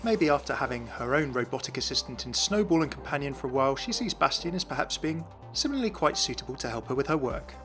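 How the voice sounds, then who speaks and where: A man's voice: medium pitch, very animated, quick pace, hardly any pauses, music in the background. A man giving an explanatory voice-over as the host of a game lore series.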